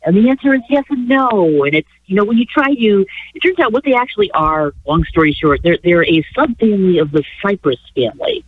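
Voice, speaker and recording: A woman's voice: medium pitch, animated, husky. Stuffy-nosed woman on a tinny phone line. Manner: rambling, halting restarts, chuckles often. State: stuffy nose from a cold. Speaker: radio show co-host. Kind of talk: casual radio chat.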